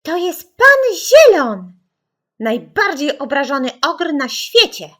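A woman's voice: sits high, very animated, slow, fairly bright tone, voice pushed up, full character voice. A woman voicing a cheeky, confident young girl in a children's audiobook, playful and declaiming. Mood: proud.

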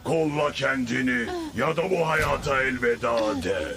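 A man's voice: mid-range voice, a little slow, vocal fry, very noisy. A man voicing a huge, proud, vengeful alien warrior in a dubbed cartoon. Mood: threatening. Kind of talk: cartoon dubbing.

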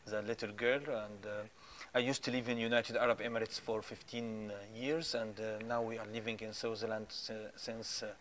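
A man's voice: fairly low pitch, flat delivery, normal speed, a bit bright, a little hiss or hum. A man, an interview guest telling his story with many hesitant fillers. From Egypt. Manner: hesitant, many "uh" fillers, non-native phrasing. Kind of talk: testimony interview. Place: small studio set with plants.

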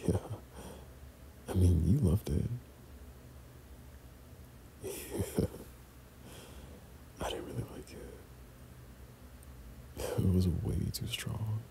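Young guy doing soft boyfriend asmr, breathy and half whispering, super creaky, some noise in the recording. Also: low-pitched, extremely slow, pauses often, poor audio. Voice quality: breathy, often dropping to a whisper. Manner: tender, hesitant, softly chuckling. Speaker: boyfriend ASMR audio performer. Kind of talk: intimate boyfriend roleplay ASMR.

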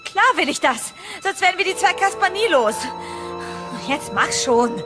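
A woman's voice: extremely high pitch, expressive, unhurried, over music. A woman playing a bold, determined investigator in a German-style horror radio play, acted for audio. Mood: urgent, determined. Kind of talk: horror radio drama acting.

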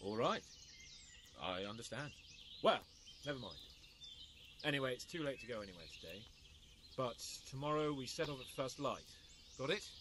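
A man's voice: medium pitch, lively intonation, normal speed, long pauses, natural voice. A man playing a haughty, flippant young medieval nobleman who gives orders with condescension, in a video game. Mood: brisk, commanding. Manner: condescending, imperious. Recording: clear outdoor nature noise behind. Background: birdsong and outdoor ambience.

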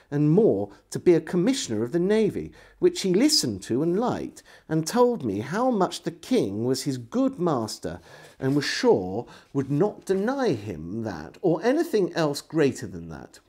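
A middle-aged man reading a historical diary aloud as a storyteller, in an expressive, measured way. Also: mid-range voice. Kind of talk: dramatic literary reading. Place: indoors, small room lined with bookshelves.

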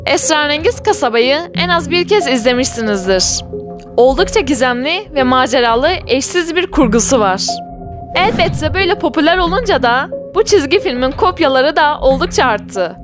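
High-pitched woman narrating with enthusiasm. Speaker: video channel narrator. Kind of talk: scripted narration for a cartoon countdown video. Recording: voice-over with music underneath. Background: background music.

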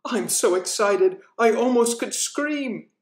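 A man voicing an effusive, excitable storybook alligator with a gushing, exclamatory manner. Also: very high voice, slow pace, pitched above the performer's own voice, heavily acted. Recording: roomy echo.

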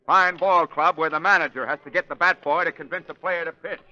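A muffled old radio recording with a forceful, sharp voice. a gruff baseball manager, exasperated and brusque, in 1930s radio style. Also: male voice, on the high side, fairly even intonation, brisk. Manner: brusque, impatient.

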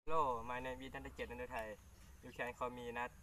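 A teenage boy, a student, rushing through a self-introduction in English outdoors. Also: mid-range voice, fairly even intonation, sharp tone, far from the mic, a little hiss or hum. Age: teens. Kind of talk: scripted classroom English dialogue. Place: outdoors in a rural park.

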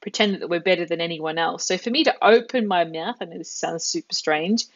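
A woman's voice: lowish voice, no room sound. Australian woman in her thirties, upbeat online language teacher on a headset, dry, dull stream sound. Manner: encouraging, chatty, drawn-out careful pronunciation. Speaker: Italian teacher. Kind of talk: live online lesson.

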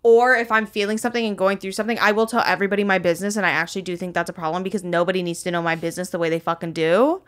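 A woman's voice: on the low side, steady tone, very fast, few pauses. A young woman co-hosting a comedic podcast, chatting casually with blunt, slangy candor. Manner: blunt, slangy, candid oversharing. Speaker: podcast co-host.

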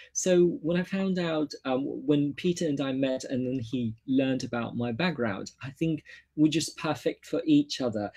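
A man's voice: mid-range voice, a little mellow, breathy edge. A man from Hong Kong in his thirties, a nutritionist introducing himself at a launch, filling his talk with ums and restarts. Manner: hesitant, many ums and restarts. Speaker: nutritionist presenter. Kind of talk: self-introduction at a product launch.

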